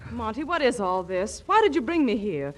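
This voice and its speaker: A woman's voice: fairly high pitch, very animated, moderate pace. A woman playing a bewildered young sweetheart in a 1940s radio comedy, questioning and exasperated, on a faintly noisy old broadcast. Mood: puzzled.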